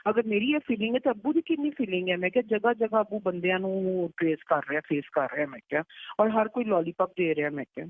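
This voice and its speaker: A woman's voice: medium pitch, lively intonation, fairly quick, no echo. Pakistani Punjabi woman on muffled phone line. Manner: recounts talk by quoting it. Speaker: daughter on a phone call. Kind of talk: casual private phone conversation. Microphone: telephone.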